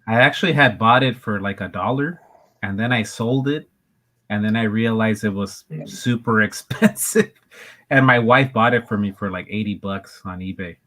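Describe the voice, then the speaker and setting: A married man chatting as a guest on a live stream, relaxed, with chuckling asides. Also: fairly low pitch, moderate pace. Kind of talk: casual chat.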